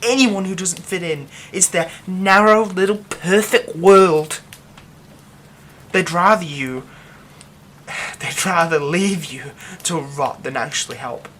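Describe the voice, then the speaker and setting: A man voicing a grieving, reclusive young man in an ASMR audio roleplay, speaking in soft half-whispers that break into bitter, scornful outbursts. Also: high-pitched, takes it slowly, slight background noise.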